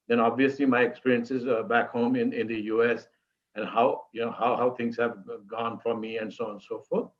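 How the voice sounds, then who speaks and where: A man in his fifties with an Indian accent, telling his life story as an interview guest in halting, repetitive phrases. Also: medium pitch. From Mumbai, India. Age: 50s. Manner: halting, repetitive phrasing with frequent you-knows. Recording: dull lo-fi call audio, music underneath.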